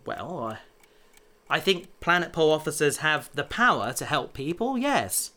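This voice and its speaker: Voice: medium pitch, sing-song, a little slow, pushed and bright. An actor playing an earnest, nervous, idealistic rookie researcher in an audio drama, hesitant and polite. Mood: earnest, defensive. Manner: hesitant, polite, lightly defiant.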